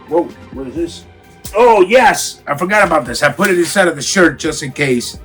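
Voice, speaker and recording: A raspy-voiced man with a cold, from Miami, hyping up a casual clothing unboxing for his viewers. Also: mid-range voice, a bit bright. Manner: hyped, slangy, talks straight to viewers. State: has a cold. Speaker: sneaker and clothing vlogger. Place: home room.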